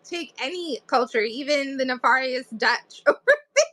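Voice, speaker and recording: A woman's voice: high voice, lively intonation, normal speed, crowd noise. A woman from New England, an author chatting casually as a guest and laughing often as she talks. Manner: giggly, laughs often while talking. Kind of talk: casual chat.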